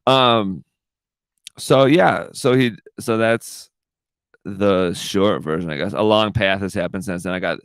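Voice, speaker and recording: A man's voice: low-pitched, measured pace, creaky, smooth, dry recording. A young man hosting his own podcast, rambling in a laid-back, profane way and saying dude a lot, into a podcast microphone. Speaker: podcast host. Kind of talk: rambling casual monologue. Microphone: studio podcast microphone.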